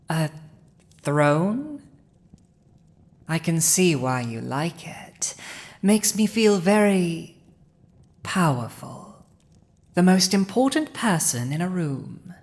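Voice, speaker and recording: Villainous dragon usurper, played by a woman: slightly low female voice, drawn-out delivery, haughty, gloating, possessive, for fantasy audio roleplay. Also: darkish tone, full character voice. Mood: smug, teasing. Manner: slow, imperious, teasing, with lingering pauses. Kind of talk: dramatic roleplay audio addressed to the listener.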